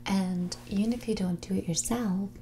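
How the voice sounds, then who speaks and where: A woman playing a snobbish, manipulative heiress in her twenties in a whispered, soft-spoken ASMR roleplay, condescending and blunt with a drawl full of filler "like". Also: medium pitch, fairly even intonation, normal speed, a little hiss or hum, close to an everyday voice. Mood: matter-of-fact, dismissive. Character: snobbish, manipulative young rich heiress on a date.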